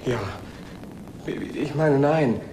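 An actor playing an awkward, shy space traveller in a radio play, speaking haltingly and dryly, often as if to himself. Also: male voice, medium pitch, background noise. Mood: flustered. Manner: hesitant, halting, often breaking off and restarting. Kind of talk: naturalistic German radio play acting, partly as a spoken log.

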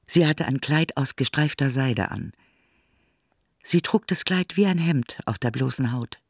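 A husky-voiced man narrates a literary radio play in measured, deliberate phrases. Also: mid-range voice, no room sound, clean studio sound.

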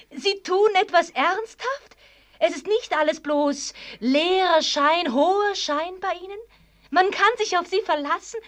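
An actress playing a proud, cerebral young woman in a German radio drama, articulate and formal, teasing and fervent by turns, with a breathy, husky voice. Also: very high-pitched, fairly bright tone, full character voice. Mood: moved, astonished. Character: proud, cerebral young woman who rapturously loves mathematics.

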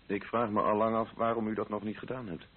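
An actor in a radio play playing an earnest, consoling priest in love, speaking in a measured, reassuring way. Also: male voice, lowish voice, level tone, close to an everyday voice. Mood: steady, principled. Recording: narrow, noisy old radio recording.

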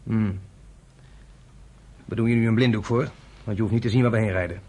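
An actor playing a wary, brisk underground fighter in a radio drama, giving orders and questions in a curt, commanding way. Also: male voice, lowish voice, steady tone, dark tone, lightly acted. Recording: faint background noise.

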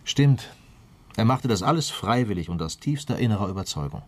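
An actor playing a shrewd police detective in a radio crime play, questioning in a pointed, deliberate way. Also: male voice, fairly low pitch, expressive. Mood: grimly insistent. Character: shrewd, probing male police detective pressing a suspect. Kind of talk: German radio play dialogue.

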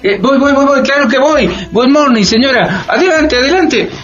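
Proud immigrant banker, very high voice, hearty, eager, boastful, radio drama. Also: male voice, normal speed, lo-fi sound, faint music behind. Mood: eager, courteous. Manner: animated, hearty, repeats himself eagerly. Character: Chencho García, eager, boastful, good-natured Latin American immigrant banker.

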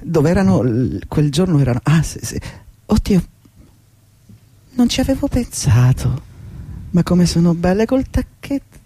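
A man's voice: on the high side, big pitch swings, measured pace, long pauses, warm tone, breathy edge, dry recording, exaggerated voice. Swooping, flustered old male employee. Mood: distracted, admiring. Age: older. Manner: stammering, fussy, repetitive protests. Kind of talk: theatrical radio drama acting.

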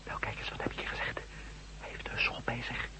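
A man's voice: brisk, bright, forceful tone, in a whisper, low-quality recording. A man acting a level-headed, inquisitive reporter and former astronaut in a Dutch radio drama. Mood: hushed, cautious.

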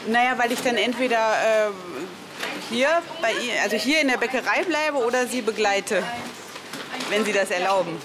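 A woman's voice: fairly high pitch, moderate pace, noisy background. A woman reporter interviewing people informally on the street in a polite, tentative way.

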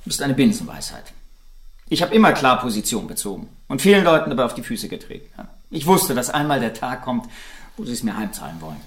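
A defiant suspect in a radio crime drama, in a mid-pitched voice with lively swings. He sounds condescending and quietly menacing, set back from the mic in an echoing room. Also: quick pace, recorded from a distance. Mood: coolly menacing. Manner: condescending, mocking, veiled menace. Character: defiant, smug male activist leader under accusation.

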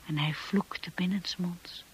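An actress playing a timid, anxious young bride in a radio play, narrating and speaking in a hushed, hesitant way. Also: female voice, lowish voice, fairly even intonation, slow, a little hiss or hum, poor audio. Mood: uneasy, reflective. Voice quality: breathy, husky.

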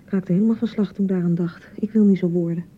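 An actress with a Dutch accent playing a young, anxious newlywed bride in a radio drama, touchy and fretful, chattering and repeating herself. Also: female voice, fairly low pitch, fairly even intonation, fast talker, narrow, tinny audio, lightly acted. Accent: Dutch (Netherlands). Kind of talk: naturalistic radio drama acting.

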